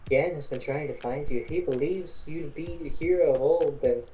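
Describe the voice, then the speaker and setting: An amateur acting out a princess burdened by a kingdom at war. The voice is dark and relaxed at a middling pitch, earnest but halting. Music plays behind it. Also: a little hiss or hum, natural voice, low-quality recording. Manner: hesitant, stumbling over lines.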